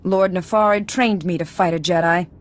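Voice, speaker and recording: A woman's voice: on the low side, steady tone, normal speed, close to an everyday voice. An actress playing a cold, haughty noblewoman villain in a video game, threatening and fanatical. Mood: cold menace. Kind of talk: dramatic video game acting.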